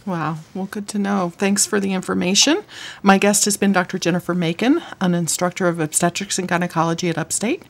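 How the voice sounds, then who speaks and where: A woman hosting a health radio talk show, asking brief, measured questions and introducing guests. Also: on the low side, fairly even intonation, gravelly. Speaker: host.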